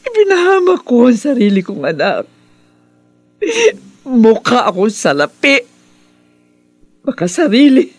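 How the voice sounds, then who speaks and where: A self-loathing, despairing mother in radio melodrama. Her anguished words drag out very slowly, in a dry studio with faint music behind. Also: on the high side, dry recording, full character voice. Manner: anguished, drawn-out. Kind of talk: Filipino radio melodrama acting.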